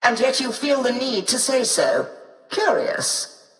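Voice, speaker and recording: A woman's voice: fairly high pitch, very animated, takes it slowly, full character voice. An actress voicing an imperious, impatient and suspicious female authority figure in a video game, haughty, curt and formal. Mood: cool, suspicious. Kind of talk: dramatic video game character acting. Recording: echoey hall, distant from mic.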